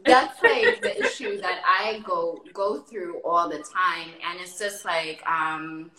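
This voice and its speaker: A woman interviewer chatting in an informal, expressive way. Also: fairly low pitch, slow pace, heavy background noise. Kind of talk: casual chat. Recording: roomy, echoey sound.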